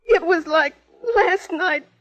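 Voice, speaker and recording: A woman's voice: extremely high pitch, takes it slowly, fairly bright tone, heavily acted. An actress playing a frightened, fragile young woman in a 1950s radio drama, pleading and childlike. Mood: shaken, frightened. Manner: fearful, pleading. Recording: dull 1950s radio recording.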